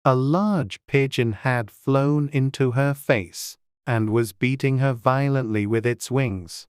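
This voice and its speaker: An audiobook narrator reading a classic children's story in a measured, gentle way. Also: medium pitch, clear, resonant tone, no echo, studio quality.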